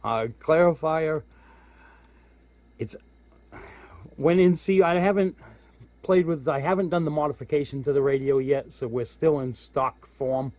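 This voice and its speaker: A man's voice: on the high side, a little slow, long pauses, darkish tone, dry recording. A man giving a casual hands-on product walkthrough as a hobbyist reviewer, informal and thinking aloud as he goes. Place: indoors at home.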